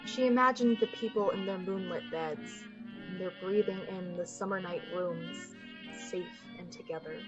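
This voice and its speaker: A woman's voice: medium pitch, moderate pace, dull audio. Woman narrating over prominent music. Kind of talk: scripted literary narration in a 1940s-style radio play.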